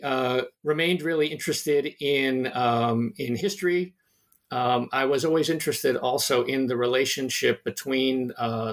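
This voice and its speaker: Hesitant middle-aged American man. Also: medium pitch. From United States (New Jersey). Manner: hesitant, many fillers and restarts. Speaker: history professor, podcast guest. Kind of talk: interview answers.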